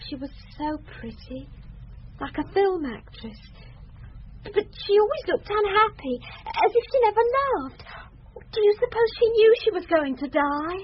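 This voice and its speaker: A woman's voice: very high voice, expressive, moderate pace. An actress with a British accent playing an outspoken, earnest young servant girl in a radio drama. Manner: forthright, chatty, unpolished. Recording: dull, hissy radio drama recording.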